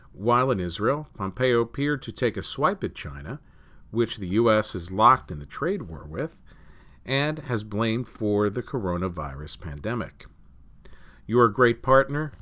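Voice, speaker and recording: A man with a fairly low voice reading news articles aloud as a news reader. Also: a bit bright.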